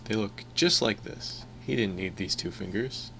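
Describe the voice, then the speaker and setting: A man's voice: fairly low pitch, unhurried, creaky, fairly bright tone, a little hiss or hum. A man playing a wounded, stubborn young musician in an amateur audio drama. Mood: bitter, determined.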